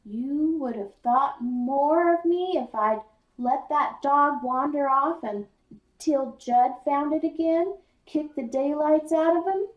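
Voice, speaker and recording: A woman's voice: high-pitched, slow, muffled recording, voice pushed up. A woman voicing an earnest, stubborn eleven-year-old country boy who pleads in folksy phrasing in a children's story. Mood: defiant. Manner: pleading, rural colloquial phrasing. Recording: roomy echo, distant mic.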